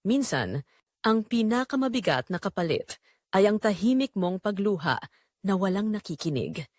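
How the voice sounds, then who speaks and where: A woman's voice: medium pitch, sing-song, normal speed, darkish tone, no echo, studio quality. A woman with a Filipino accent narrating a scripted dramatic story.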